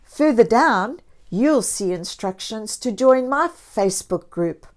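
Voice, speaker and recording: A woman storyteller reading a picture book aloud to young children, expressively and deliberately, with encouraging asides. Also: on the high side, expressive, darkish tone. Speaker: children's storyteller and channel host.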